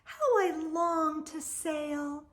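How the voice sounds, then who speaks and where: A woman's voice: high voice, drawn-out words, pitched above the performer's own voice. A woman voicing a tiny female snail, wistful, eager and brave, in a storybook reading. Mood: wistful longing. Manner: sighing, earnest exclamations.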